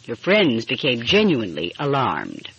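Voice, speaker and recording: A woman's voice: a bass voice, huge pitch swings, moderate pace, fairly bright tone, slightly rough, dry recording. A woman reading a mystery story aloud as scripted audiobook narration. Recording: muffled, dull lo-fi audio.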